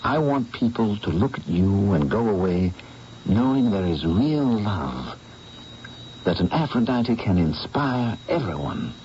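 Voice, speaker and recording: A man's voice: fairly low pitch, big pitch swings, a little slow, mellow. An actor playing a male painter who narrates his own story in an old radio drama, earnest and persuasive. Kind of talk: 1970s radio drama acting. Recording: dull old radio broadcast, faint hiss.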